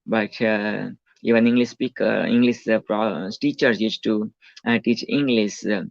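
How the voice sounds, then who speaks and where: Man, forties, Gujarati accent, deep gravelly voice, halting with many fillers, dull dry lo-fi sound. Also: fairly even intonation, no room sound. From India. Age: 40s. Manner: hesitant, full of fillers and restarts. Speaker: self-help speaker. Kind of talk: rambling autobiographical monologue.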